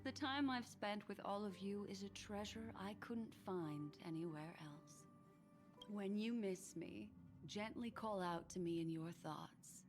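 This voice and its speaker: A woman voicing a gentle, mysterious, elegant lady in video game dialogue, soft, breathy and composed. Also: mid-range voice, unhurried, music in the background, natural voice. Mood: tender farewell.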